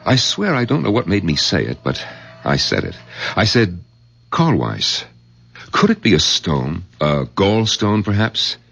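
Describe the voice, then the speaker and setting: An actor playing a skeptical, exasperated doctor in a radio drama, with a gravelly, husky voice and a wry manner. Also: male voice, deep voice, very animated, measured pace, a bit bright, heavily acted. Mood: troubled, confiding. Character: skeptical, exasperated male doctor, the old man's son-in-law. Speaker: narrator and doctor character. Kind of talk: 1970s radio drama acting and narration. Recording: muffled old radio broadcast.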